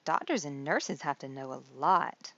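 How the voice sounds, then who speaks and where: A woman's voice: deep voice, animated, unhurried, a bit bright, highs cut off, full character voice. A woman voicing a playful, inquisitive childlike puppet boy in a children's storybook.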